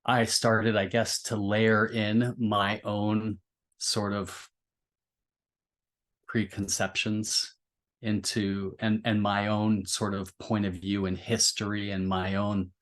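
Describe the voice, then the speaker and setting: A man in a thoughtful literary discussion, speaking reflectively and feeling his way through roundabout, halting phrasing. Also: lowish voice, little pitch movement, a bit bright. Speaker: podcast discussion participant.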